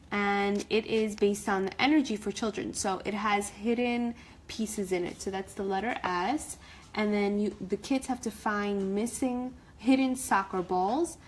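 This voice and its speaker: A woman's voice: mid-range voice, measured pace, a little hiss or hum. A woman storyteller reading a picture-book story aloud for children.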